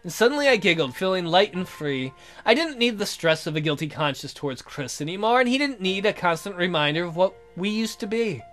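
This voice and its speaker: A man's voice: high voice, expressive, a little fast, fairly bright tone, faint music behind. A man hosting a gaming video, reading visual novel narration aloud in a theatrical storytelling manner.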